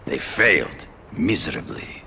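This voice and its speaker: An actor playing a grandiose, courteous but menacing big-game hunter turned vigilante in a video game, with formal, theatrical, deliberate phrasing. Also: male voice, very animated, pushed and bright, a little hiss or hum, exaggerated voice. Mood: righteous. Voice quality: very deep, gravelly. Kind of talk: video game dramatic acting.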